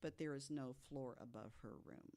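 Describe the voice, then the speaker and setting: A woman telling ghost stories in a casual, chatty way as a podcast guest, quick to laugh. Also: rumbling low, mellow. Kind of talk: casual storytelling chat.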